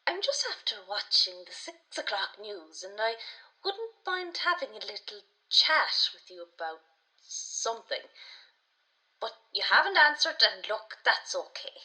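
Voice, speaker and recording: A woman's voice: on the high side, sing-song, measured pace, bright, forceful tone, heavily acted, rough recording. A woman with an Irish accent playing a chatty, friendly caller leaving a voicemail in a radio play. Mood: friendly, tentative.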